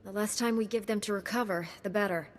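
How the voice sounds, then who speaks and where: A woman voicing a composed, resolute knight in a video game cutscene, measured and confident. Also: mid-range voice, lightly acted. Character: composed, resolute young female Jedi knight.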